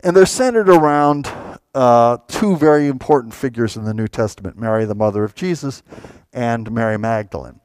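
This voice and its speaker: An older man in his sixties greets a concert audience as organist and composer, with an eager, chatty manner. His voice sits in a middle register and comes through a clip-on mic, dry and close, even though he stands in a large wooden church. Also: medium pitch, normal speed, smooth, no room sound. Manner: chatty, enthusiastic, warm asides. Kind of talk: informal concert introduction. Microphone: clip-on lapel mic.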